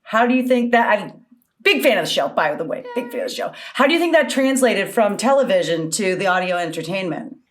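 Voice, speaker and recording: A woman's voice: mid-range voice, very animated, a little fast, slight background noise. A woman co-hosting a podcast, asking questions in a casual, chatty way. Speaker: podcast co-host.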